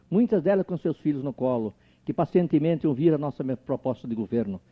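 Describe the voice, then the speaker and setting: A Brazilian man, a mayoral candidate, delivering a formal, declamatory campaign speech to his voters. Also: a little high. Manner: solemn, declamatory oratory. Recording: tinny lo-fi archive tape.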